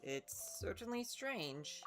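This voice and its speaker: A woman's voice: medium pitch, big pitch swings, slow, music in the background. A woman game streamer giving casual live commentary, thinking aloud with some hesitation.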